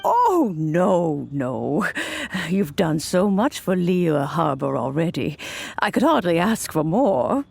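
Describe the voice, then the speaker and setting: A woman voicing a kindly, insistent old grandmother in a video game, gentle and doting, with a creaky elderly voice. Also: on the low side, big pitch swings, slow, slight background noise, full character voice. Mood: doting, grateful. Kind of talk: video game character acting.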